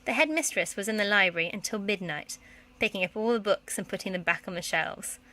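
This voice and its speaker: A woman's voice: mid-range voice, a little fast, sharp tone. A woman, a primary school teacher, reading a children's story aloud expressively.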